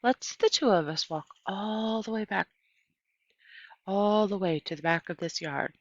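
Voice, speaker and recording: Woman voicing a folksy, slow-talking kindly old woman. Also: medium pitch, dry recording, lo-fi sound, voice pushed up. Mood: gentle, plainspoken. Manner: folksy, unhurried, gently instructive. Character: kind, plainspoken old woman with failing eyes.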